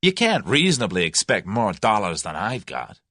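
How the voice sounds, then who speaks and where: A man voicing a brash, direct young American suitor in an audiobook, forceful and slangy. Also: on the low side, huge pitch swings, normal speed, no echo, studio quality, exaggerated voice. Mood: persistent. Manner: forceful, plain-spoken, slangy. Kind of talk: audiobook character voice.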